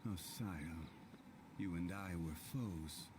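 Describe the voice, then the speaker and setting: A very deep, solemn ancient god. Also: male voice, noisy background. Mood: solemn, resolute. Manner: formal, measured, solemn storyteller. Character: ancient male god living as a calm, refined, reflective mortal. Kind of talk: scripted game cutscene dialogue.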